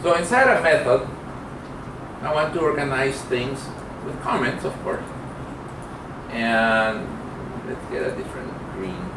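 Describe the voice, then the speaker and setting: A middle-aged man gives an informal programming lecture at a slow, halting pace, thinking aloud as he works. He sounds distant from the microphone in an echoey room, with clear noise behind him. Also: mid-range voice, lively intonation. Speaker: programming instructor. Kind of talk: informal classroom lecture with live coding demo. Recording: roomy, distant mic, clear background noise.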